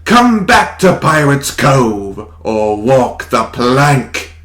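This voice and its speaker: A man voicing a menacing, playful animatronic pirate fox with drawn-out pirate talk in a fan audio drama. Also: mid-range voice, very animated, a bit bright, full character voice. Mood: threatening.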